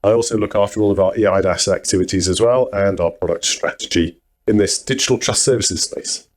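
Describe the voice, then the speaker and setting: A man introducing himself and his work at a conference talk in a relaxed, measured way. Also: lowish voice. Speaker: conference speaker. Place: conference hall before an audience.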